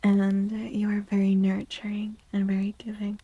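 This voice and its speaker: A woman reading tarot in a soft-spoken ASMR style, gentle and deliberate, often close to a whisper, with pauses and repeated phrases. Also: mid-range voice, completely flat delivery, dry recording, dull audio. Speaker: streamer, tarot reader.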